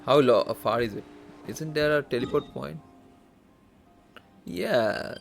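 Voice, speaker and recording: A woman's voice: a bass voice, takes it slowly, vocal fry. A woman giving casual, reactive commentary while live streaming a video game, informal and murmuring. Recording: stream with faint game music behind.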